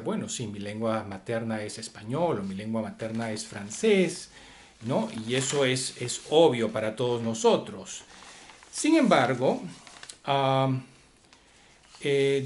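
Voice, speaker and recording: Slow, hesitant man lecturing on philosophy. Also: mid-range voice. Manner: hesitant, many fillers and rhetorical questions.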